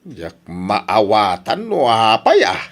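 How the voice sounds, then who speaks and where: A man with an Ilocano accent playing an excitable, grumbling older man in a comedy radio drama. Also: fairly low pitch, slow pace, heavily acted. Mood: flustered.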